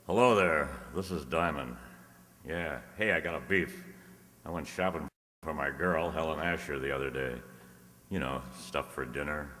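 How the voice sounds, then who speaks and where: A man acts out a wisecracking, hard-boiled private detective from a 1940s radio drama. His voice is extremely low, with a bright, forceful edge. He talks in slangy, casual patter, ringing through a reverberant hall. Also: moderate pace, faint music behind. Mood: wry griping. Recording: echoey hall, live stage reverb.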